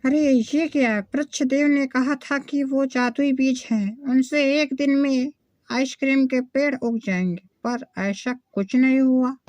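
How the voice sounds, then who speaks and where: A woman's voice: high-pitched, fairly even intonation, measured pace, a little mellow, no room sound, lo-fi sound. A woman voicing a poor, earnest village mother in a cartoon moral story, speaking plainly. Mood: upset. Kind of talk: Hindi animated moral story acting.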